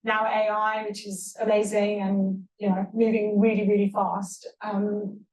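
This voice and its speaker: A woman with a South African accent speaking on a panel as a tech founder, relaxed and enthusiastic, with many fillers. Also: mid-range voice, measured pace. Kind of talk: panel talk. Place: event hall. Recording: echoey room, distant mic.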